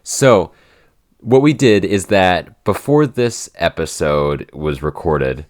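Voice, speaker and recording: A man's voice: low voice, expressive, slow, darkish tone. A man co-hosting a casual podcast Q&A, talking hesitantly with many restarts and fillers. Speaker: podcast head writer and editor, co-host.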